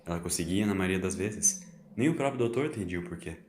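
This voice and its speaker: Casting: measured male storyteller, lowish voice, roomy echo. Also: animated, a little mellow. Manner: solemn and measured. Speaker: narrator. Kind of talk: dramatic audio drama narration. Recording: roomy echo, faint hiss.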